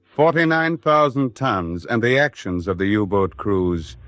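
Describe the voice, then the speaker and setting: A man playing a grave, brooding storyteller in a 1940s radio drama, speaking deliberately with ominous pauses. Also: fairly low pitch, animated, fairly bright tone, no echo, soft background music. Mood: brooding, tense. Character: grave, brooding male storyteller of a wartime chronicle. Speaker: narrator.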